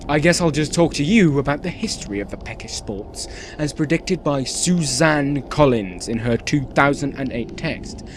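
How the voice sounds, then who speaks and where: A man playing a cheerfully sinister radio host of a dystopian town, with theatrical, measured delivery and dramatic pauses in a scripted radio play. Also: mid-range voice, slight background noise, natural voice. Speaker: radio presenter. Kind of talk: scripted radio play in the manner of an eerie community radio show.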